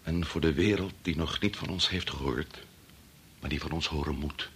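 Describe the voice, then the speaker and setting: A man's voice: a bass voice, normal speed, a bit bright, slight background noise. An actor playing a middle-aged, preoccupied and domineering doctor and father in a Dutch radio drama, muttering or curt. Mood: grave, strained. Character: preoccupied, domineering male doctor-scientist, father of a grown son.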